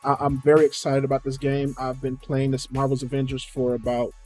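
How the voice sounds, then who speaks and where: A man's voice: mid-range voice, completely flat delivery, brisk, darkish tone, soft background music. A man chatting casually and informally as a video host, with slang and swearing as he reacts to a game trailer.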